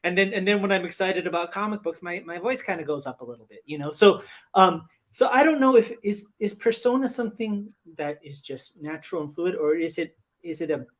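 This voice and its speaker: A man hosting a casual interview, eager, with a fairly high voice, asking long winding questions. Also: brisk. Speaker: host.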